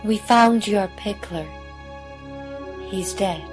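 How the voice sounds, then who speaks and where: A woman playing a courteous alien village woman in a science fiction audio drama, gentle and formal in her phrasing. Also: mid-range voice, slow, natural voice. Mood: composed, polite. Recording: loud music and birdsong behind.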